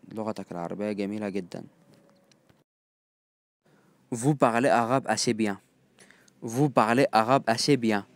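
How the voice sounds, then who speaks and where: A man with an Egyptian accent teaching phrases, repeating each one slowly and then glossing it in quick, casual asides. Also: on the low side, clean tone, no room sound, clean studio sound. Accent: Egyptian Arabic. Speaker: language teacher. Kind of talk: phrase-by-phrase language lesson.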